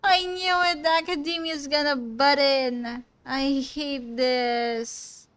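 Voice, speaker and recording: A woman's voice: sits high, steady tone, slow, fairly bright tone. A woman streamer giving casual, informal, reactive commentary over a game.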